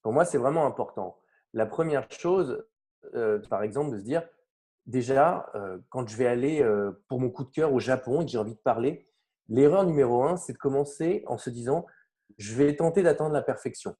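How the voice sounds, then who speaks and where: A man from France talks through his way of learning languages in a loose, chatty interview. His voice is mellow and warm, sitting at an ordinary middle pitch. He gets animated and often lets sentences trail off into fillers. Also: moderate pace. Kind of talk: casual conversational interview.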